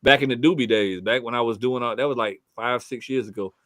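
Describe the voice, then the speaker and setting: A man's voice: mid-range voice, quick pace, a bit bright, no room sound, clean studio sound. Laid-back Southern man telling stories. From Fort Pierce, Florida. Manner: laid-back, profane storytelling with frequent restarts. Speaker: guest artist. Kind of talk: casual podcast chat.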